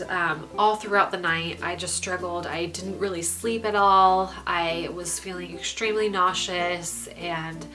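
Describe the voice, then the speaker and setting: A young woman with a stuffy, congested voice giving a candid pregnancy update, pausing often with ums. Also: on the low side. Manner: frequent ums, relaxed and candid. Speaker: pregnancy vlogger. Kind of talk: casual vlog update.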